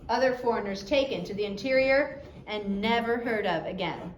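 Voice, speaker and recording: A woman voicing a mysterious teenage girl who gives secret warnings in a hushed, urgent way while reading a storybook. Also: medium pitch, normal speed, dull audio, close to an everyday voice. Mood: urgent, secretive. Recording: roomy echo, background noise.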